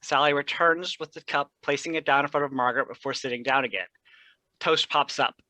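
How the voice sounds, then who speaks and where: A man's voice: medium pitch, a little fast, bright, forceful tone, dry recording, low-quality recording. A man reading stage directions aloud as scripted narration for a play.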